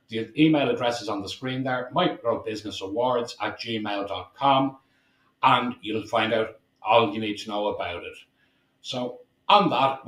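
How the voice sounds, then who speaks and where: A man presents and interviews in a chatty style. His voice sits at a middle pitch. The recording has a clearly roomy echo around him. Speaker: host interviewing a guest. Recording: echoey room sound.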